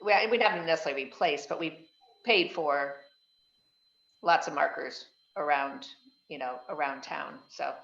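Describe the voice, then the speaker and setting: A woman's voice: low-pitched, moderate pace, vocal fry, fairly bright tone. Hedging woman on a muffled, echoey call. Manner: conversational, hedging, repeats words. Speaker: committee member. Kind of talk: public committee meeting discussion. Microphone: video call.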